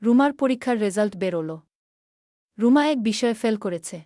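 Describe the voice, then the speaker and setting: Female narrator, scripted storytelling, dark relaxed tone, dry close recording. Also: medium pitch, moderate pace, no room sound.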